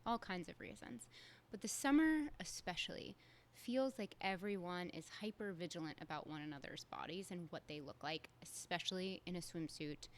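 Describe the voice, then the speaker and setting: A woman's voice: lowish voice, a little hiss or hum. A young adult woman, hosting a solo podcast in a candid, conversational way with casual fillers. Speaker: podcast host.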